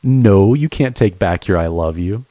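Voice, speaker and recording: A man playing a devoted friend turned lover in an intimate romantic audio roleplay, speaking earnestly and haltingly to the listener. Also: sits low, animated, mellow, no room sound. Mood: tender, teasing. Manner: halting, earnest, with stammered repeats.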